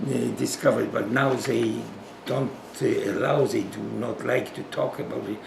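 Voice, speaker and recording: Man, Polish accent, older, gravelly, earnest and halting, roomy home recording, faint hiss. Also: medium pitch. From Poland. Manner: earnest, explanatory, halting with fillers. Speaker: physician guest. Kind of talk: sit-down interview talk. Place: living room of a home.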